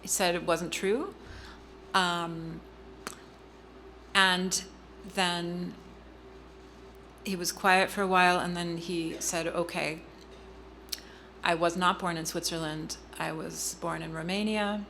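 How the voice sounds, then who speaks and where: A middle-aged woman telling a personal family story in an interview, earnest and halting, with frequent ums and restarts. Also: on the low side, little pitch movement, bright, forceful tone, slight background noise. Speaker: guest speaker.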